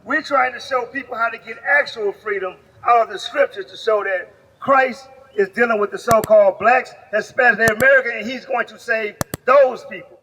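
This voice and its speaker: A man's voice: extremely high pitch, a little fast, bright, forceful tone, poor audio. A man talking casually outdoors on a city street, asking leading questions as a street teacher. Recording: street noise behind.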